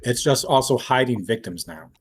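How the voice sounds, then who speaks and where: A man's voice: mid-range voice, expressive, moderate pace, a little hiss or hum. A man in casual discussion as a tabletop game player, reasoning things out.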